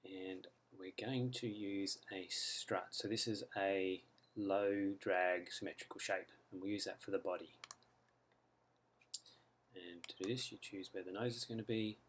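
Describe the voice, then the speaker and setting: An Australian man explaining a computer design program step by step in a relaxed tutorial, with frequent ums. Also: low-pitched, a little slow, slight background noise. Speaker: software author demonstrating his add-in. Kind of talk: screen-recorded software tutorial. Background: mouse clicks and keyboard typing.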